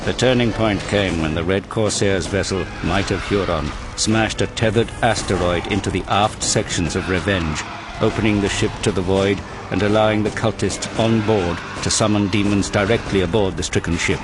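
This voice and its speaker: A man narrating a scripted audio drama in a grave, measured storytelling manner. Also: low-pitched, noisy background. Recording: loud cinematic music behind the voice.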